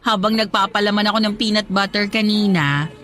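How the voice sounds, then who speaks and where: A woman's voice: medium pitch, fairly quick, natural voice. An actress with a Filipino accent playing a playful, nostalgic woman with her old sweetheart in a radio drama. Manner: teasing, expressive.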